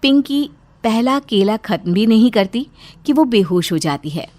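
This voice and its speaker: A woman's voice: fairly high pitch, animated, a little slow, darkish tone. A woman narrating a scripted children's story as storyteller.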